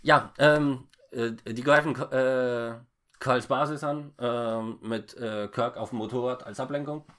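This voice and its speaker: A man talking casually as he recaps a story in a halting, stammering way with many false starts. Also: medium pitch, fairly even intonation, a bit bright. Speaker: podcast co-host recapping a film. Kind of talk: casual unscripted plot recap.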